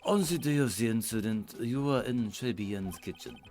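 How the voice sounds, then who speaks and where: A man's voice: medium pitch, lively intonation, normal speed, a little mellow, soft background music, lightly acted. A man voicing a cocky, coffee-loving male defense lawyer who grandstands in smug aphorisms, for a fan-voiced game.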